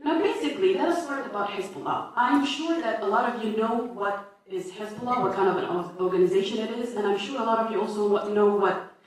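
A woman's voice: mid-range voice, distant mic. Lebanese woman speaking in an echoey hall. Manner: halting, many fillers and self-corrections. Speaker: conference speaker. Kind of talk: academic paper presentation.

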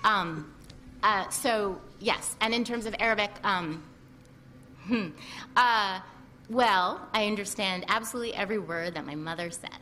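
A woman's voice: mid-range voice, a little slow, pushed and bright, slight background noise. A woman with a Lebanese-American accent, talking casually as an author at a book talk, chatty and full of restarts.

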